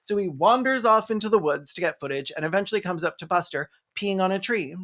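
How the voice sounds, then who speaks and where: Snarky reviewer voice, mid pitch, scripted recap, dry mockery and some cussing. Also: expressive, quick pace, a bit bright. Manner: snarky, mocking, swearing. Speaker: video essayist and film reviewer. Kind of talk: sarcastic scripted movie recap.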